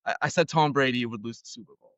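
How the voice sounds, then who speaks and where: A young man from Argentina, a podcast guest telling a story in a relaxed, self-deprecating way with lots of fillers. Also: mid-range voice, quick pace, no echo, highs cut off. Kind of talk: casual storytelling.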